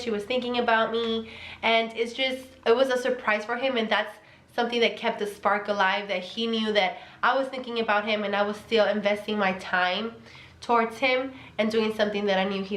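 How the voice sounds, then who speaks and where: A woman's voice: on the high side, steady tone, fairly quick, fairly bright tone. A young woman with a Mexican accent giving relationship advice in a casual vlog, earnest and emphatic, repeating key words. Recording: echoey room.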